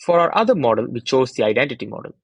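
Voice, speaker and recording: A man's voice: medium pitch, sing-song, rapid-fire, slightly rough, no echo. A young adult man reading a scripted research presentation as a student narrator, in an orderly, explanatory manner.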